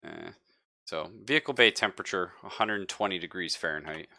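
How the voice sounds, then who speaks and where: A man's voice: sits low, fairly quick, vocal fry, sharp tone. A man running a tabletop roleplaying game as game master, talking casually and joking with a lot of laughter. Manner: jokey, laughs often.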